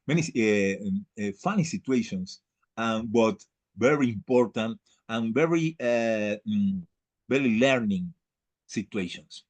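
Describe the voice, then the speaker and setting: A man who speaks English as a second language, lecturing on a video call in a halting way, searching for words and repeating phrases for emphasis. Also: medium pitch. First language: not English. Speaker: lecturer leading an online class.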